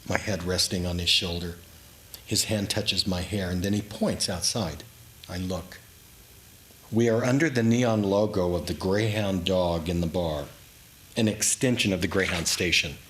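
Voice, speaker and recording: A man giving a dramatic, reflective reading of his own memoir story, with deliberate pauses. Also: low-pitched, a bit bright. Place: indoors in a historic house, live reading. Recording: clearly noisy live room recording.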